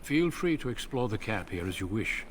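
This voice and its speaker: A solemn, secretive warrior commander in a fantasy video game. He speaks in a measured, grave way with a low voice. A faint hiss sits behind him. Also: sing-song. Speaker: veteran Grey Warden commander.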